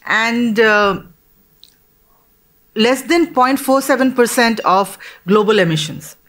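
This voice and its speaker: A woman from Bangladesh speaking as a panelist in a discussion, earnest and measured, with pauses and restarts. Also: a little high, a bit bright.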